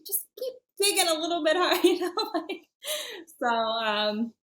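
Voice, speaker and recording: A woman's voice: sits high, sing-song, a little slow, fairly bright tone. A woman guesting on a real estate podcast, talking casually with fillers and frequent laughs. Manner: chatty, many fillers, laughs often.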